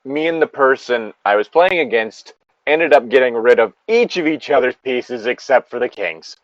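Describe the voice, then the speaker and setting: A man's voice: mid-range voice, expressive, moderate pace, no room sound. Man rambling casually, dry dull recording. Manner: rambling, swears freely. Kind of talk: casual chat.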